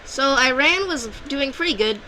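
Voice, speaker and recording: A woman's voice: high voice, animated, moderate pace, bright, forceful tone, slight background noise, low-quality recording. A woman narrating an alternate-history map video in a loose, unscripted way, often restarting and correcting herself mid-sentence. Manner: loose and rambling, with frequent restarts and self-corrections. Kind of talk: casual unscripted map commentary.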